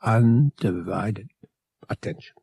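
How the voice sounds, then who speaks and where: Guy reading a flirty rogue pilot dude in an audiobook, raspy low-key voice, confident and teasing, dry sound. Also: takes it slowly, warm tone, no echo, heavily acted. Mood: flirtatious, reassuring. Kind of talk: audiobook character voice.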